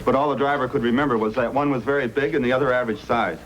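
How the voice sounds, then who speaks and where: A man's voice: medium pitch, rapid-fire, fairly bright tone, close to an everyday voice, rough recording. A man playing a helpful, welcoming frontier police officer in an old-time radio drama, friendly and earnest. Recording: old radio recording, faint noise.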